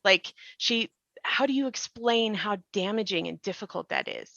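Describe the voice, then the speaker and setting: A woman's voice: mid-range voice, expressive, normal speed, gravelly, sharp tone, no room sound, dull audio. A woman in an earnest explanatory discussion, emphatic and given to rhetorical questions. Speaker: podcast creator and actor discussing the show.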